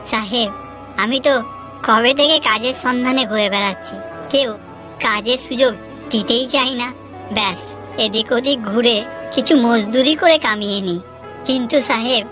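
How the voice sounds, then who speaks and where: Humble labourer for a moral tale, played by a woman: deferential, earnest, higher-pitched, clear music underneath. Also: close to an everyday voice. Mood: earnest, eager. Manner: deferential, polite. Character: humble, honest poor village labourer man, eager to help. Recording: music behind the voice.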